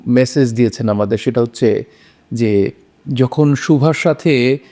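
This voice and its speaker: A man's voice: medium pitch, a little slow, warm tone. A man explaining a literary point, repeating phrases for emphasis. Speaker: panelist.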